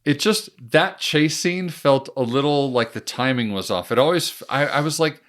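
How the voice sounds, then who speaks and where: A man's voice: on the high side, moderate pace, a bit bright. A man co-hosting a podcast in casual chat, rambling, laughing often and correcting himself. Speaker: podcast co-host.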